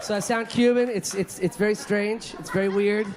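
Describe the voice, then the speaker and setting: A man from Colombia doing a stand-up set on a comedy club stage, loud and exuberant as he works the crowd. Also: high-pitched, steady tone, moderate pace, noisy background.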